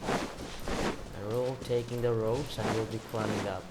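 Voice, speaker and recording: A man's voice: on the low side, fairly even intonation, unhurried, heavy background noise. A man giving casual, rambling gameplay commentary with jokey asides. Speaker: gamer, channel host. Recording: loud game music over voice.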